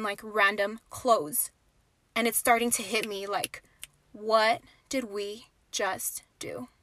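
A young adult woman hosting a podcast, telling a personal story in an animated, conversational way with dramatic pauses. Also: medium pitch, no echo. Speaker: podcast host. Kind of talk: storytime narration.

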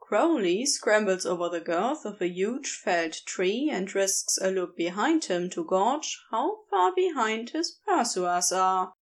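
A woman reading a fan fiction story aloud as a podfic, in an expressive, deliberate narration. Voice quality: lively, expressive reading with wide pitch swings. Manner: slow, deliberate storytelling pace. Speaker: podfic reader.

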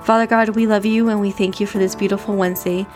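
A woman's voice: mid-range voice, little pitch movement, fast, a little mellow, soft background music. Congested woman leading a daily devotional. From Miami, Florida. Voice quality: nasal. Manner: earnest, upbeat and chatty, with fillers. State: stuffy and congested. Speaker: devotional podcast host. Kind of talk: casual devotional talk and spoken prayer.

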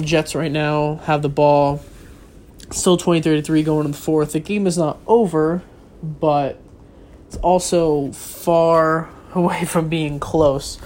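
A man's voice: on the high side, darkish tone. A young man with a stuffy voice from a cold, talking casually and rambling with many ums. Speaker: vlogger. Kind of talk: casual solo talk on football and sneakers. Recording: faint background noise.

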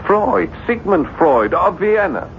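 A man's voice: sing-song, slow, creaky, full character voice. A man playing a tormented, obsessed writer in a 1970s radio drama, brooding and argumentative in a theatrical way. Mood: haunted, fervent. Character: tormented male French writer, obsessed with an unseen evil. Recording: dull 1970s radio broadcast, faint rumbling noise.

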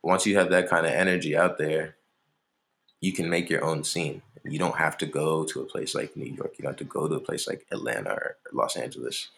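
A young man from Maryland with a very deep voice that drops into a gravelly fry. He talks as an interview guest in a relaxed, rambling way, full of "like" and "you know what I mean". The tone stays easygoing and conversational throughout. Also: fairly quick. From Maryland, USA. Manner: laid-back, rambling, many fillers.

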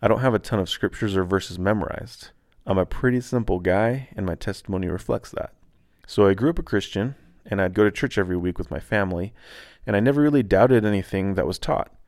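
A laid-back man who grew up in Taiwan, hosting a podcast and calmly, earnestly reading a personal reflection. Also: fairly low pitch, a little fast, no echo, clean studio sound. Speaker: podcast host.

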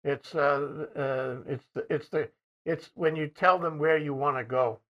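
A man interviewing soldiers, asking questions in a halting way with frequent restarts and throat-clearing. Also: fairly high pitch, muffled recording.

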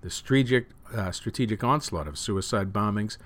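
A man reading news and opinion articles aloud as a host, with measured, emphatic phrasing that falls away at sentence ends. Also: lowish voice, fairly bright tone. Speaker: news reader and show host.

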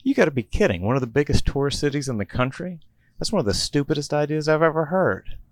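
Sharp skeptical young woman, sarcastic, raised voice, as a man puts her on, dry room. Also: a little high, animated, fast, no echo, voice pushed up, natural voice. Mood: scoffing. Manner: sarcastic, scoffing.

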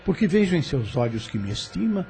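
An actor playing a scheming landowner who persistently courts a young widowed heiress in a radio drama. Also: male voice, on the high side, very animated, a little fast, gravelly, warm tone, soft background music. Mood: persuasive.

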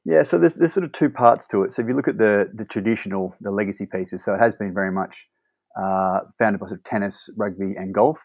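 A man who manages brand partnerships, answering interview questions quickly and fluently, often restarting his phrases. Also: lowish voice, darkish tone, no echo.

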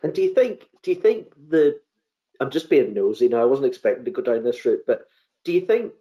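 A man's voice: mid-range voice, sing-song, brisk, highs cut off. A man hosting a pharmacy podcast, chatty and rambling, often repeating his words as he asks questions. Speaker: podcast host. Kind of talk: casual interview.

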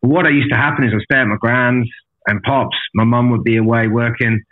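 A man speaks as a podcast guest, telling his story in a candid, matey style and swearing freely. His pitch sits around the middle for a man. The sound is narrow and phone-like, as if through a small radio. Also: steady tone, a little fast. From an island outside Australia.

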